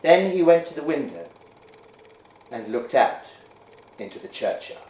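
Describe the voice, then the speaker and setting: A man giving a measured, hushed dramatic reading of a ghost story. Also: medium pitch, big pitch swings, a little hiss or hum. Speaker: reader. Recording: roomy echo.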